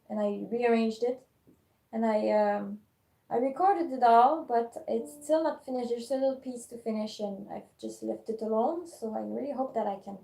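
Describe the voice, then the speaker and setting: French accent lady, kinda high voice, bit of vocal fry, lots of ums, phone mic in an echoey room. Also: dark tone. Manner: hesitant, many pauses and fillers. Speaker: musician live-streaming. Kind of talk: casual live-stream chat.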